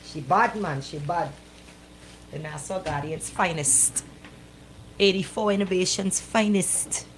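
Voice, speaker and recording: A woman with a Bahamian accent hosting a live radio show with casual banter, talking in a playful, animated, colloquial way. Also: on the low side, slow, pauses often, slight background noise, poor audio.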